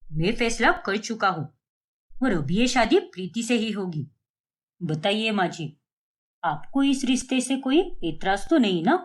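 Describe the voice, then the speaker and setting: A stern middle-aged father played by a woman storyteller in a cartoon family drama. He is decisive and authoritative, pitched a little low and delivered with expressive swings. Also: measured pace. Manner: firm, authoritative.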